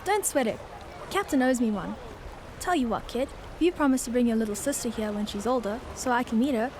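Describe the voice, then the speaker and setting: Fisherwoman, female actor, radio drama: high voice, easygoing and welcoming, noisy watery ambience. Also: lively intonation, a little fast, lightly acted. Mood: reassuring. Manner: breezy, reassuring, friendly. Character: friendly, easygoing fisherwoman and generous local guide.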